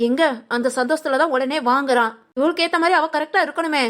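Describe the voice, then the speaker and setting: A woman plays a grandmother and aunt in a family audio drama. Her voice is high, and she talks in a brisk, chatty, homely way with a caring yet skeptical temper. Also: a bit bright.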